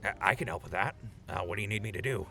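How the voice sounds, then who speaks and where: Gravelly, low, awkward wasteland buddy played by a man. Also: very fast, bright, forceful tone, soft background music, heavily acted. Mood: nervous, hesitant. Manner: hesitant, awkward. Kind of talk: audio drama acting.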